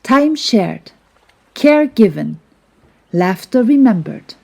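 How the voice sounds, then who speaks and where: Woman voicing a slow, kindly, wise storybook leader. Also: a little high, very animated, creaky, warm tone, heavily acted. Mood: kind, earnest. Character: kindly, wise male glowing storybook friend, calm leader.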